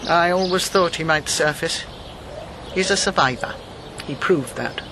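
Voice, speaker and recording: A woman's voice: deep voice, measured pace. Imperious old english lady in a radio play, dry and clipped, birdsong and noise behind her. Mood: cool, guarded. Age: older. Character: guarded, imperious elderly English lady, former wartime agent. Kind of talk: broadcast radio drama acting.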